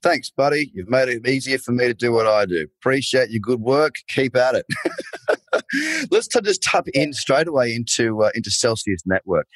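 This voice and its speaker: A man's voice: medium pitch, lively intonation, normal speed, no room sound. Dry-sounding man hosting. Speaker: podcast host. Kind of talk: casual interview chat.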